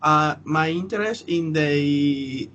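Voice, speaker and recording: Halting young Colombian man, lightish voice. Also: fairly high pitch, fairly bright tone. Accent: Colombian Spanish. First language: Spanish. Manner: halting, hesitant. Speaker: podcast panelist, language student. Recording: dull lo-fi audio, faint noise.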